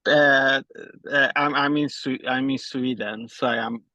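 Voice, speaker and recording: A man from Iran asking a question during a webinar, speaking haltingly with many restarts while out walking on his phone. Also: medium pitch, fairly even intonation, sharp tone, dry recording. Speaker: audience member.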